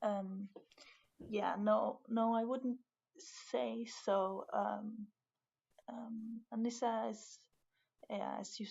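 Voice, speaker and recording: A young woman from Denmark with a Danish accent, speaking English haltingly in a casual conversation, full of fillers and restarts. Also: a little high, fairly even intonation, creaky, a little mellow, dull audio. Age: young adult. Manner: hesitant, many fillers and restarts. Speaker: art student, guest.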